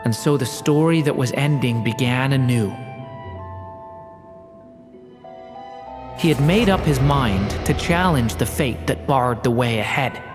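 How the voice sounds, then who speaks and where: An actress playing an earnest young dragon boy in a video game, soft, sincere and boyish. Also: rumbling low, moderate pace, over music. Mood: resolute. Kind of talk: scripted video game voice acting.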